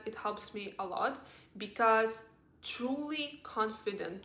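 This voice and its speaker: A woman's voice: medium pitch, a bit bright. A young adult woman from Russia, a native Russian speaker with a Russian accent, giving casual, earnest, encouraging advice as a lifestyle vlogger. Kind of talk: casual advice vlog to camera. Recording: roomy, echoing sound.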